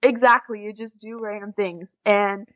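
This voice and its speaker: A woman's voice: mid-range voice, fairly even intonation, moderate pace, no echo, dull audio. A teenage woman from the USA with an American accent, chatting informally and giggly as a podcast guest. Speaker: podcast guest, exchange student. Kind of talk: casual interview chat.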